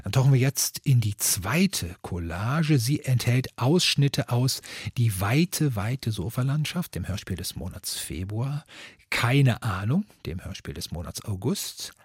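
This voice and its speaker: Male radio moderator, very raspy mid-range voice, measured scripted delivery, dry close sound. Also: mid-range voice, expressive, no room sound.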